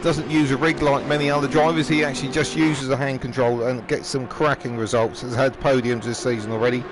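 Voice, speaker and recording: A man commentating a live sim race, slightly hoarse, chatty with frequent ums and throat clearing. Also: medium pitch, level tone, fairly quick. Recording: noisy background.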